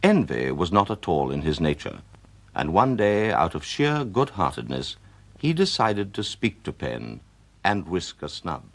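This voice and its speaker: An actor as storyteller, a man with a British accent giving measured literary narration in a radio drama. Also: sits low, sing-song, gravelly, exaggerated voice. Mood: wry, measured. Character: male storyteller narrating a Victorian novel.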